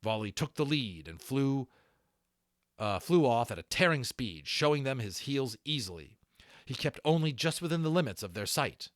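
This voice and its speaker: A man reading a novel aloud as an audiobook narrator, in an expressive storytelling style. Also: mid-range voice, moderate pace, no room sound, clean studio sound. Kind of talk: expressive storybook narration with brief asides to listeners.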